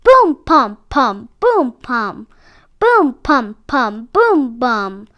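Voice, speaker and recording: A woman's voice: sits high, big pitch swings, very slow, a bit bright, dull audio. A woman narrating a children's picture book expressively, with dramatic phrasing. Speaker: read-aloud storyteller for children.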